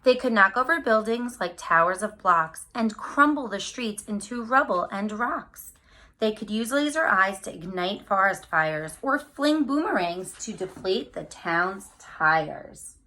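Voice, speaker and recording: A woman reading a picture book aloud to children, speaking to them directly with animated, drawn-out emphasis on key words. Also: medium pitch. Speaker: read-aloud storyteller for children.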